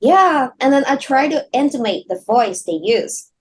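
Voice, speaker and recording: A woman from Hong Kong talking as an interview guest over a video call, soft-spoken and halting, often laughing. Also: high voice, expressive, breathy edge. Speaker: guest singer. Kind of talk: casual interview chat.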